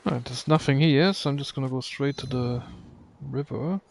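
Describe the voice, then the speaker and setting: A man's voice: mid-range voice, normal speed, gravelly, a little hiss or hum. A man giving casual live video game commentary, muttering to himself as he plays.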